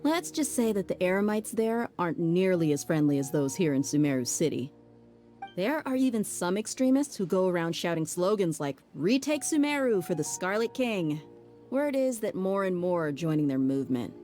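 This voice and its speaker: An actress playing a calm, matter-of-fact informant giving news in a game cutscene. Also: female voice, on the low side, moderate pace, faint music behind. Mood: informative.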